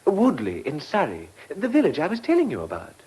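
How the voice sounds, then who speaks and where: Courteous English gentleman campaigner, highish voice, very animated pitch, earnest radio-play delivery. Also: brisk, rough recording. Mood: polite, hesitant. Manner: well-spoken, courteous, eager. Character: earnest, polite male village campaigner. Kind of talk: radio drama acting.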